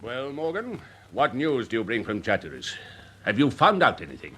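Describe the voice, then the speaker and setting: An actor playing a worldly older English gentleman, a pompous and smoothly persuasive uncle in a period radio drama. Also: mid-range voice, huge pitch swings, normal speed, heavily acted. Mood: wounded, lofty. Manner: pompous, urbane, rhetorical, insistent.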